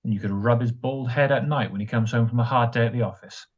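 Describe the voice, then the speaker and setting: A woman playing a smug, languid husband in an old-time radio drama, answering with husky, mock-polite sarcasm. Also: a bass voice, quick pace, a little mellow, dull audio. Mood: mock-polite, irritated. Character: smug, languid, sardonic husband, lazily mocking.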